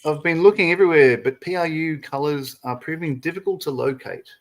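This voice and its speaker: A man's voice: on the high side, fairly quick. A man hosting a casual live stream, chatting freely, laughing often and reading viewer comments aloud. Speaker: stream host.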